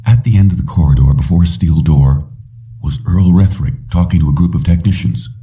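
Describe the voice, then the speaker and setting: A man with a very low voice narrates an audiobook in measured, dramatic phrases. The recording is slightly muffled and sounds a little away from the mic. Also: far from the mic. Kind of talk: scripted narration of a suspense story.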